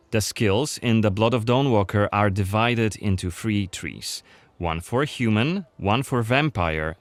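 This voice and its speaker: A man's voice: fairly low pitch, no echo. A man presenting a game demo in a scripted narration style. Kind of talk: scripted gameplay showcase narration. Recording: music under the voice. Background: background music.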